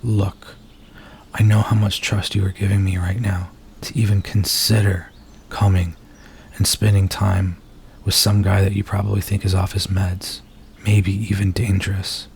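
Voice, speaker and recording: A man playing a nervous, earnest werewolf in an intimate ASMR roleplay, speaking softly and haltingly as he confides in his date. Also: sits low, little pitch movement, vocal fry, slight background noise. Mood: gentle, reassuring. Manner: soft-spoken, hesitant, halting, earnest.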